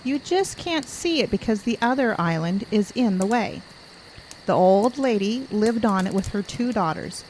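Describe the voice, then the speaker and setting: A woman playing a sensible adult camp leader in an audio drama, practical and steady. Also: medium pitch, moderate pace, a little hiss or hum, close to an everyday voice, poor audio. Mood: storytelling.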